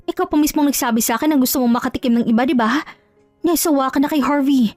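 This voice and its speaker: Lady doing a sneaky smug false friend, accusing, high voice, audio drama with music. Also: fast, darkish tone, dry recording, natural voice. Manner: scornful, insinuating. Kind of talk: melodramatic audio drama acting.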